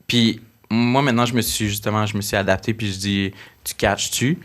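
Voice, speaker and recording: A man's voice: on the low side, fairly quick. Man with a light Gaspésie accent. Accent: light Gaspésie Québécois French. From Gaspésie, Quebec. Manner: relaxed, hesitant with fillers. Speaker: podcast host. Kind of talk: casual podcast chat.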